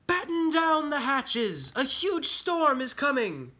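A man's voice: on the high side, big pitch swings, quick pace, bright, forceful tone, faint music behind, voice pushed up, exaggerated voice. A man voicing an earnest, bookish young boy rabbit in a storybook, polite and pleading. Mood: alarmed. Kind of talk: storybook character voice.

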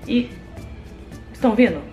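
A young woman from Brazil vlogging casually to a handheld camera, talking in a lively, teasing way. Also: moderate pace. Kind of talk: casual vlog chat to camera. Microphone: handheld camera at arm's length. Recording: music playing in the background. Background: loud party music nearby.

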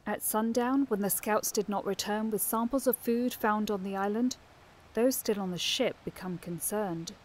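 A woman's voice: mid-range voice, normal speed, slight background noise. A woman narrating a scripted audio drama.

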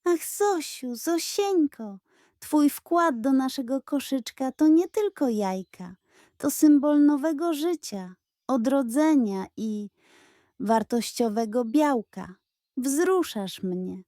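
A woman's voice: sits high, expressive, takes it slowly, mellow, dry recording, clean studio sound, voice pushed up. A woman voicing a pompous, greedy, sly male pug in a children's story, making grandiose ceremonial speeches. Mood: solemn, flattering.

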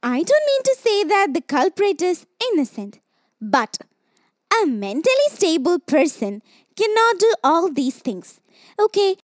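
A woman's voice: very high-pitched, very animated, measured pace, clean studio sound, exaggerated voice. A woman voicing an opinionated TV panelist who argues emphatically. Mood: emphatic. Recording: long reverb.

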